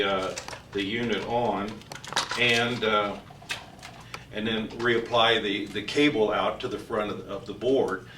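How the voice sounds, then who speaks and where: A man giving an informal technical training explanation as a company trainer, speaking haltingly with frequent hesitations. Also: on the low side, fairly bright tone. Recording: roomy echo, distant mic, faint noise.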